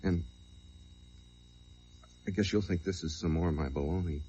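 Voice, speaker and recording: An actor playing a gentle, troubled man in an old radio drama, speaking with hesitant, tender, colloquial delivery. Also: deep voice, moderate pace, husky. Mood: earnest, hesitant. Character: gentle, troubled man breaking grim news. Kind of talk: 1940s radio drama acting. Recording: muffled old radio recording.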